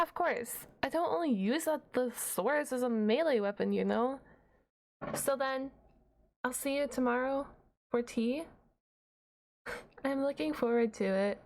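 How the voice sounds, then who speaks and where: A woman voicing a shy, nerdy, flustered half-dragon librarian in an ASMR roleplay, hesitant and rambling with awkward humour. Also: on the high side.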